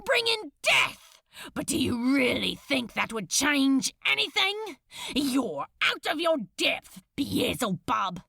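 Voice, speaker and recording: A woman voicing an impassioned, defiant male demon in an audiobook story, shouting with anguish and rage. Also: high-pitched, big pitch swings, slow, gravelly, dry recording, clean studio sound, pitched above the performer's own voice, exaggerated voice. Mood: desperate defiance.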